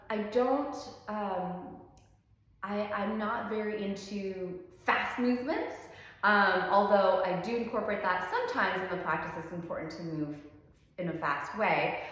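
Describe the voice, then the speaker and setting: A woman pilates instructor in her thirties, originally from France, chats through her story at an easy pace, filling pauses with ums. Her voice sits at a middle pitch and rings out in a very echoey room with a long reverb tail. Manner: easygoing, frequent ums, warm enthusiasm. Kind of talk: relaxed personal introduction.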